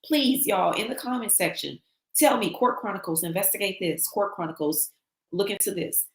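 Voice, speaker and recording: A woman hosting a livestream in casual chat, animated, reading viewer comments aloud, her voice a little raspy from travel. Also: medium pitch, moderate pace, roomy sound. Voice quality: slightly raspy voice. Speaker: livestream host.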